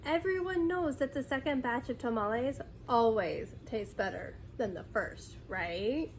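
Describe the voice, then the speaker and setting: A woman's voice: fairly high pitch, animated, unhurried, slight background noise. A woman voicing a cheerful, reassuring aunt in a storybook, easygoing and consoling.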